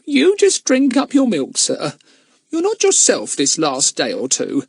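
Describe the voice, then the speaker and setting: A man's voice: high voice, huge pitch swings, a little slow, gravelly, slightly rough, no echo, studio quality, pitched above the performer's own voice, heavily acted. A man voicing a kind, motherly housekeeper, soothing and deferential, in a children's audiobook. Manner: coaxing, reassuring. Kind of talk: acted children's audiobook dialogue.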